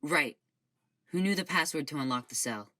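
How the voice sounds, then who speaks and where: A woman's voice: sits low, expressive, normal speed, pushed and bright, full character voice. A woman playing an earnest, insistent crew member aboard a ship.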